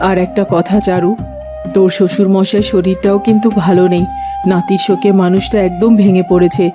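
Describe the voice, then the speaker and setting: A woman playing a middle-aged queen mother in a fairytale cartoon, gentle, consoling and advising. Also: mid-range voice, flat delivery, fairly quick, close to an everyday voice. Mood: tender, advising. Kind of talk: cartoon voice acting. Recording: music behind the voice.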